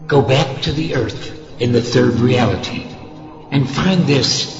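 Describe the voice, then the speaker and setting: An actor playing a huge, commanding disembodied overlord, ominous and echoing, in a comedy audio drama. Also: male voice, mid-range voice, slow, muffled recording, over music. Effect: echoing reverb.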